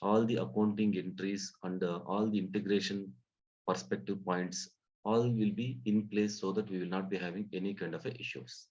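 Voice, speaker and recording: A man giving a live software training lesson, explaining step by step in a halting way, often repeating and rephrasing his phrases. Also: low-pitched, steady tone. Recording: dull, muffled lo-fi audio.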